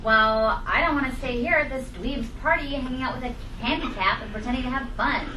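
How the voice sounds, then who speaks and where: Woman as a highish, snobby, complaining college girl. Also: brisk, close to an everyday voice. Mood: bored, scornful. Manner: complaining, dismissive. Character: bored, snobbish young college party girl, whiny and dismissive. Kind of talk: comedic script table read. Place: room at a live table read. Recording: echoey room, distant mic, loud noise.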